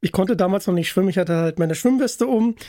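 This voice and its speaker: A man's voice: sits high, brisk, mellow, no room sound, clean studio sound. A man chatting casually as the interviewer, asking questions and sharing anecdotes.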